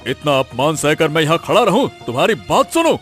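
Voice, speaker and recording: A man's voice: on the high side, sing-song, fairly quick, fairly bright tone, faint music behind. A man playing a haughty, easily insulted rich landowner in a cartoon, pompous and threatening. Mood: offended, threatening. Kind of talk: Hindi cartoon voice acting.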